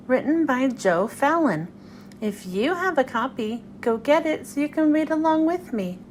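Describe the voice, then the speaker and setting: A woman's voice: high voice, slight background noise. A woman hosting a read-aloud and reading a children's storybook to young listeners.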